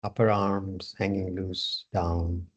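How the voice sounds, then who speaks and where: A man teaching yoga, guiding a meditation in short phrases with long pauses between them. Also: low voice, level tone, slightly rough, highs cut off. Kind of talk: guided meditation instruction.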